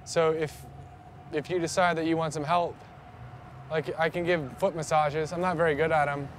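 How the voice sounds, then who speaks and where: A young man talks in a casual, joking way, poking fun at himself and calling out to passersby. His voice sits a little high. Clear street and traffic noise runs behind him. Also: little pitch movement, moderate pace. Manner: joking, self-deprecating, calls out to strangers. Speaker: vlogger doing a public stunt. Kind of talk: casual self-filmed vlog. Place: indoors then on a busy city street.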